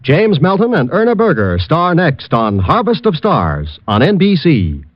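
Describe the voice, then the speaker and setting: A man's voice: on the high side, wildly swooping pitch, a little slow, dry recording, faint music behind. A man announcing network programs in a polished, emphatic vintage radio promotional style. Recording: thin, phone-like old radio recording.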